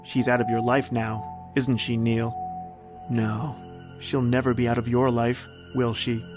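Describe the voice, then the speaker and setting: A man's voice: mid-range voice, level tone, measured pace. A man hosting and narrating in a scripted, theatrical old-time radio storytelling style. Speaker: podcast host and narrator. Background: music.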